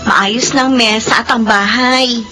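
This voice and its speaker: An actress playing a cheerful grown daughter in a classic radio drama, bubbly and giggly. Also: female voice, on the high side, steady tone, slow, music in the background. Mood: bright. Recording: radio drama with faint noise.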